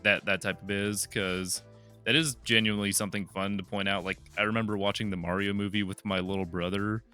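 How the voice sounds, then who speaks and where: A young man co-hosting a podcast, calmly explaining his view step by step. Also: fairly low pitch, fairly quick, clean tone, faint music behind. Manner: explanatory, building arguments step by step. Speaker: podcast co-host. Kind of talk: casual chat.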